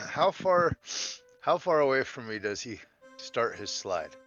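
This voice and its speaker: A man playing a tabletop roleplaying game, bantering casually in character with a gruff, heavily swearing manner. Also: mid-range voice, very animated, slow, a bit bright, muffled recording, soft background music.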